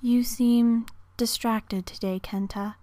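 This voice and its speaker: A woman playing a gentle, struggling mother in an amateur anime dub audition, tender, apologetic and wistful. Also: fairly high pitch, steady tone, slow, no room sound. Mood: weary, apologetic.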